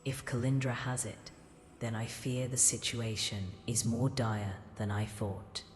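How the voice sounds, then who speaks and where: A woman's voice: very deep voice, steady tone, a little slow, a little hiss or hum. A woman playing an ancient goddess in a mortal body, grave, formal and portentous, in a fantasy audio drama. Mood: sorrowful, hushed. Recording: long echoing reverb with music.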